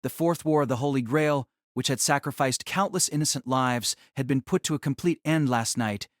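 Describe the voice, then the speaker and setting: A man's voice: mid-range voice, moderate pace, no echo, clean studio sound. A man narrating a scripted audio drama as a storyteller.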